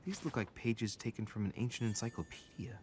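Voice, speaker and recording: A male actor voices a gentleman investigator in a video game, musing to himself. The voice is low and understated, with noticeable noise around it. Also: brisk, lightly acted. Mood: quiet, curious. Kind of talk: scripted video game acting.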